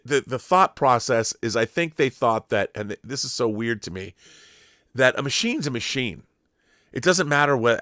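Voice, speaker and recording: A man's voice: medium pitch, fairly bright tone, no echo, studio quality. A man hosting a pop-culture show, giving opinionated commentary in an emphatic way, repeating phrases for stress and often rephrasing as he thinks aloud. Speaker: commentator and host of a film and TV show.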